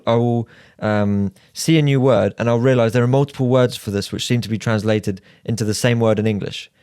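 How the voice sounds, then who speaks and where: A man's voice: fairly low pitch, a little mellow, smooth, dry recording, studio quality. A man co-hosting a casual podcast discussion, giving advice in an orderly way and laying out numbered points. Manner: structured, advising, lays out numbered points. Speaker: podcast co-host.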